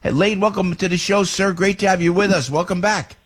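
A man's voice: high-pitched, a little fast, a bit bright, slightly rough. A man hosting a radio talk show from a studio, enthusiastic and effusive. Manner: enthusiastic, effusive, gushing with praise. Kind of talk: lively radio chat and live ad reads.

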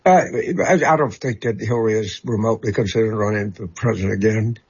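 A man working as a political commentator, answering callers on a talk show in a halting way and often repeating words as he searches for them. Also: on the low side, husky, highs cut off. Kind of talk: call-in talk show guest.

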